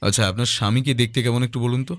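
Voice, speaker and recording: A man playing a polite, persistent private detective in an audio drama, questioning directly and calmly. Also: mid-range voice, very fast, dry recording, natural voice. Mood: calm, probing.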